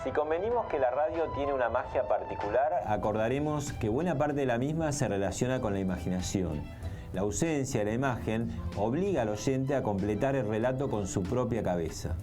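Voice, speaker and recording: A man's voice: medium pitch, lively intonation, a little fast. A man reading scripted documentary narration. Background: music.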